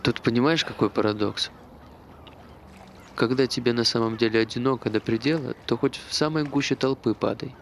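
A man's voice: medium pitch, fairly even intonation, a little fast, natural voice. An actor playing an anxious, self-deprecating man, talking hesitantly and earnestly in naturalistic stage dialogue. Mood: earnest, wry. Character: anxious, self-deprecating man recounting his failed suicide. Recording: faint background noise.

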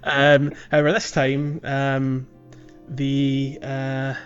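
A man hosts a casual gaming podcast, thinking aloud in a halting, conversational way. His voice sits at an average pitch. The audio is slightly muffled, with music clearly playing behind him. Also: completely flat delivery. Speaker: podcast host. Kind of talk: casual video game walkthrough chat.

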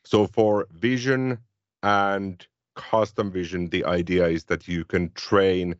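Deep-voiced Finnish man talking tech. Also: slow pace, no room sound, dull audio. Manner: relaxed, conversational explaining. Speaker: podcast co-host. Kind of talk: casual tech chat.

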